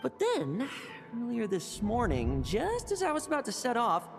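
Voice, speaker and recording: A woman's voice: a little high, huge pitch swings, moderate pace, vocal fry, faint music behind, exaggerated voice. A woman voicing a confident, stubborn young fighter in a video game, with a dramatic, self-assured delivery. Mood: self-assured, annoyed. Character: confident, battle-hungry young female fighter, skeptical and stubborn.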